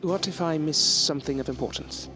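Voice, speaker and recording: A man's voice: on the high side, fairly quick, natural voice. A man playing a polite, earnest young student in a gothic audio drama, speaking in formal, old-fashioned phrasing. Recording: music under the voice.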